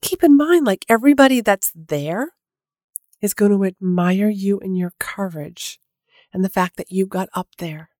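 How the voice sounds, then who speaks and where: A woman's voice: mid-range voice, a little slow, darkish tone, no room sound, clean studio sound. A middle-aged woman hosting a solo podcast as a coach, sounding nasal from a head cold, talking casually and encouragingly with lots of "like"s. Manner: casual, encouraging, many filler "like"s.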